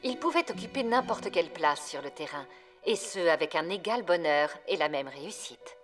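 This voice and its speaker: A formal female museum guide, voiced by an actress in a cartoon. Her voice sits a little low, with music clearly behind it, and she presents in scripted documentary style. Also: lively intonation, moderate pace, natural voice. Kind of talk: scripted documentary-like narration in a French cartoon dub.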